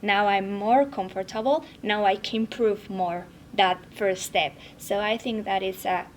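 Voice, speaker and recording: A woman coach from Mexico, a Spanish speaker with a Mexican accent, talking in a halting way with many restarts. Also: mid-range voice, steady tone, slight background noise. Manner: halting, repeats words. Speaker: fitness coach. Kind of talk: roundtable chat.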